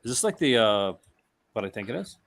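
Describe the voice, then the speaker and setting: A man in a relaxed conversation, reading out film blurbs. He stumbles over names, restarts his words and laughs easily as he goes. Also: medium pitch, fairly quick, vocal fry. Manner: reads product blurbs aloud, stumbling and restarting, laughs often. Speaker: host. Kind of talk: casual chat.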